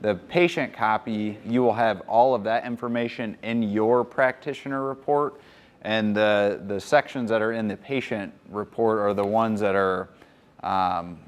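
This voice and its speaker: Man explaining a lab report to a room. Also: lowish voice. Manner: relaxed, chatty explaining with fillers. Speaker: presenter. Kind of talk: conference presentation. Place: hotel conference room.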